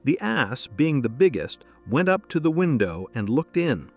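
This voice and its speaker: A man's voice: medium pitch, sing-song, unhurried, breathy edge, soft background music. A man reading scripted bedtime fairy-tale narration as a storyteller.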